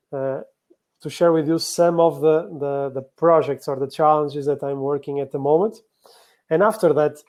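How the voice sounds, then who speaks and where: A man from Portugal with a Portuguese accent giving a business presentation, explaining point by point in a somewhat halting way. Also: a little high, darkish tone. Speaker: conference speaker, operational excellence professional.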